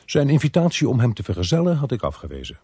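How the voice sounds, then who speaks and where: An actor playing a calm, courteous male police inspector in a radio drama, measured and a little hesitant. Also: mid-range voice, breathy edge. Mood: measured narration. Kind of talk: radio drama acting with first-person narration.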